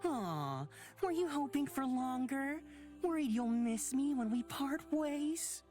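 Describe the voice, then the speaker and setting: A breezy, teasing young traveller, swooping intonation. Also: female voice, sits high, expressive, takes it slowly, a little mellow. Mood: playful teasing. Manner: playful, teasing. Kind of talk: visual novel voice acting. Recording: music behind the voice.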